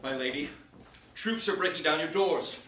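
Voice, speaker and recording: A man's voice: on the high side, moderate pace, fairly bright tone, close to an everyday voice. A man playing an earnest military adviser in a staged verse play, speaking formally and urgently. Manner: formal, declamatory verse delivery. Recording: very echoey room, distant mic, hiss.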